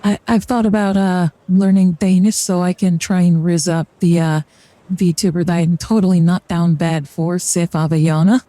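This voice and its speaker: A woman's voice: lowish voice, steady tone, moderate pace, mellow, no echo. A young woman streamer chatting casually and joking through a card reading on a live stream. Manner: rambling and joking, with fillers and short laughs. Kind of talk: casual livestream chat during a card reading.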